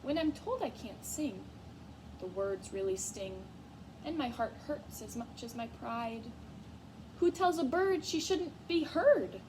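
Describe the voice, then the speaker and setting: Woman voicing a spirited, high-pitched young storybook girl mouse. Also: animated, slow pace. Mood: earnest, pleading. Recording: clear background noise.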